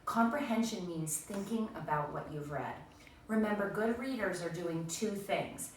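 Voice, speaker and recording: A woman's voice: mid-range voice, a little slow. A woman teacher giving a read-aloud reading lesson to young children, explaining things and addressing them directly. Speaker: primary school teacher. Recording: echoey room, distant mic, faint noise.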